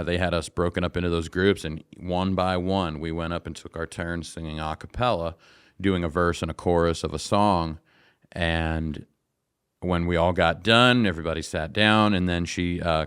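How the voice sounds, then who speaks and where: A man's voice: low-pitched, fairly bright tone, clean tone, dry recording, clean studio sound. A man telling stories casually as an interview guest, in a laid-back, conversational way. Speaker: interview guest, singer and musician.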